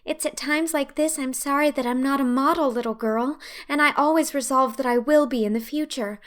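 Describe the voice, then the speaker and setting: A woman's voice: high-pitched, fairly quick, fairly bright tone, voice pushed up. A woman voicing a chatty, dreamy orphan girl who gushes effusively. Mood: rapturous. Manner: gushing, effusive.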